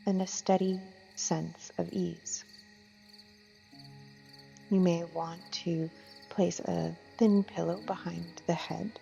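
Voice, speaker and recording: A woman leading a guided meditation as a yoga teacher, gentle and soothing, with soft phrasing and long unhurried pauses. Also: fairly low pitch, vocal fry. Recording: muffled, long reverb, music and insect sounds.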